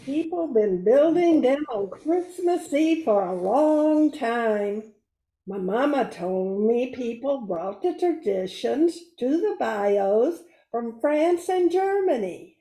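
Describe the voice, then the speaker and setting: A high, mellow, hospitable Cajun woman of the bayou, played by a woman in a radio play. She speaks unhurriedly in an echoey room. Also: expressive, slow. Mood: storytelling. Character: hospitable Cajun bayou woman telling local Christmas lore. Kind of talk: amateur radio play acting.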